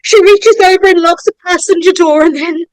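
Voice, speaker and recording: A woman narrator reading horror screenplay stage directions in a dramatic, suspenseful way, with deliberate pauses. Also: very high-pitched, dry recording, rough recording. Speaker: narrator of a script table read.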